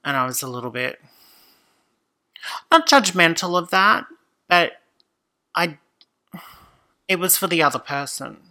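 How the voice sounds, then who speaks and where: A woman, a podcast guest talking casually and thoughtfully, often pausing and restarting. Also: low-pitched, expressive, pushed and bright. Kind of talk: casual conversation.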